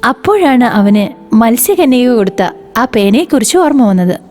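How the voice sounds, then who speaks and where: A woman's voice: fairly high pitch, big pitch swings, moderate pace, darkish tone, faint music behind. A woman narrating a scripted children's moral story.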